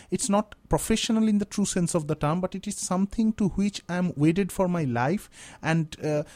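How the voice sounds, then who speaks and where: A man's voice: high voice, mellow. A man in his thirties whose first language is Bengali, a guest in a radio studio interview, speaking reflectively and searching for words as he goes. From India. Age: 30s. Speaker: guest writer.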